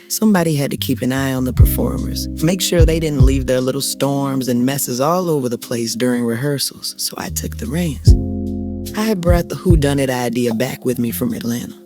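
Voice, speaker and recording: A middle-aged woman tells a dramatic scripted story in the first person. Her delivery is deliberate and confiding, with poetic phrasing and pauses for emphasis, and music plays clearly behind her voice. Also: rumbling low.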